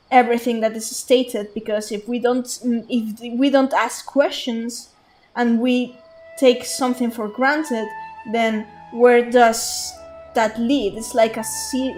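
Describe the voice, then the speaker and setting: A woman's voice: fairly high pitch, darkish tone. A woman streaming a game, musing aloud in a rambling, thoughtful way with many fillers and restarts. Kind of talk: casual livestream commentary.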